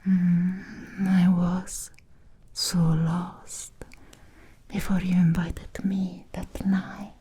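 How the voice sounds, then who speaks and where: A woman playing an obsessed, seductive Victorian vampire in an ASMR roleplay, whispering softly and slowly in an intimate, breathy voice. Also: lowish voice, little pitch movement, slight background noise. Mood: tender, intimate. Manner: slow, intimate, deliberate with long pauses. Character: obsessed, seductive Victorian female vampire, hungry yet restrained.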